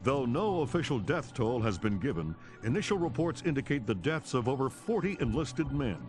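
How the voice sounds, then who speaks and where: A man's voice: medium pitch, big pitch swings, moderate pace, music in the background, lightly acted. A man playing a serious, urgent television news anchor, reading formally and measuredly in a video game cutscene. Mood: grave. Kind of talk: scripted news bulletin in a game.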